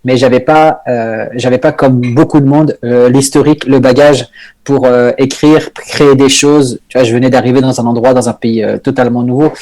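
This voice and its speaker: A young man from northern France chats casually as a podcast guest. He talks in a hesitant, halting way, full of fillers and restarts, at an ordinary middle pitch. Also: steady tone, darkish tone, low-quality recording. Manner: hesitant, many fillers and restarts, laughs often. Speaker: comedian guest.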